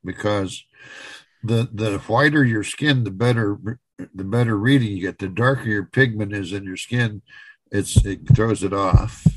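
A man's voice: on the low side, unhurried, no echo, low-quality recording. Man chatting casually in a dry room. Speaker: podcast co-host. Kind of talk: casual chat, retelling odd news.

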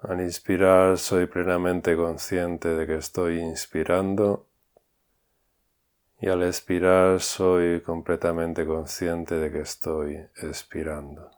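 A man guides a meditation in a calm, unhurried way, leaving long pauses between instructions. His voice sits very low and stays almost flat, with a smooth, resonant tone.

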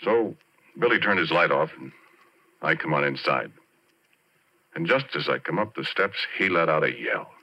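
A man playing a folksy working-class oil driller, telling an eerie tale in halting, ungrammatical speech as 1940s radio drama. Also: extremely low pitch, animated. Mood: uneasy, confiding. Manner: colloquial, ungrammatical, halting, confiding. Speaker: narrator and lead character of a radio drama. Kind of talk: 1940s radio drama first-person storytelling. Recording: dull 1940s radio recording with music.

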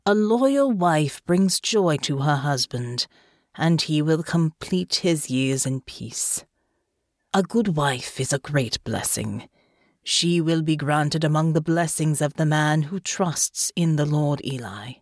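A woman voicing a grave, stately male bishop who intones slowly and ceremonially in an audiobook. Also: low-pitched, animated, dry recording, clean studio sound, exaggerated voice. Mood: solemn, reading scripture. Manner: slow, intoning, ceremonial delivery. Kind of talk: liturgical recitation in an audiobook.

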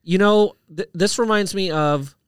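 A man's voice: high-pitched, measured pace, clean tone, no echo, clean studio sound. A man on a podcast panel in casual debate, explaining his point through comparisons.

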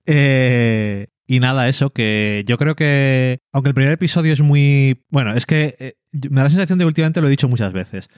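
Relaxed man with a Spain Spanish accent. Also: mid-range voice, normal speed, hardly any pauses, clear, resonant tone, no room sound, clean studio sound. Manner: relaxed, thinking aloud. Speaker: podcast co-host. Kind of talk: casual chat. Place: home.

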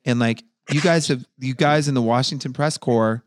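A man's voice: mid-range voice, normal speed. A man hosting a podcast over a video call, rambling and swearing a lot, and drunk by his own account. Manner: profane, rambling, tipsy. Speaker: podcast host. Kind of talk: casual chat.

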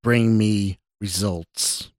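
A stern official in a suit as a man reads him in a story. He is skeptical and cold, speaking with measured command. The recording is dry. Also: lowish voice, steady tone, no echo. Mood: stern warning.

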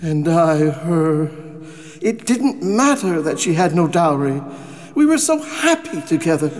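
A man's voice: a little high, big pitch swings, measured pace. An older man acting in a radio drama as an emotional old miser confronted with his past, exclaiming and pleading. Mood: wistful. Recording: long reverb, faint music behind.

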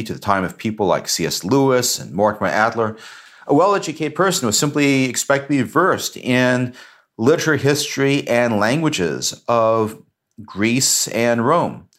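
A man's voice: mid-range voice, a bit bright. A man co-hosting a podcast, explaining ideas in an articulate, lecture-like way. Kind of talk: measured explanatory talk.

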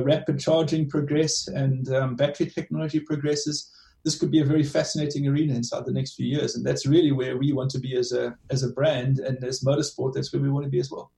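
A man with a South African accent, a team director answering interview questions in a measured way and often restarting his phrases. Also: mid-range voice, creaky, dark tone. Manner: measured, restarts phrases with many "you know"s. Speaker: motorsport team director, guest. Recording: echoey room, distant from the mic.

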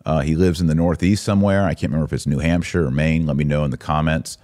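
Male podcast host, low voice, dry close sound, conversational live commentary. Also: steady tone, quick pace, darkish tone, dry recording. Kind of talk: live commentary, casual talk with listeners.

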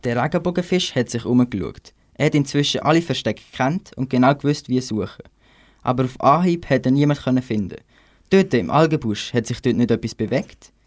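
A man's voice: mid-range voice, lively intonation, brisk. A teenage male with a Swiss accent, narrating a scripted children's story. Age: teens. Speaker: radio play narrator.

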